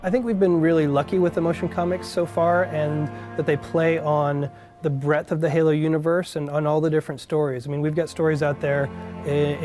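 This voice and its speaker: A man speaking as a developer in a reflective interview. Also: a little high. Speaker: franchise developer. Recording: music playing behind.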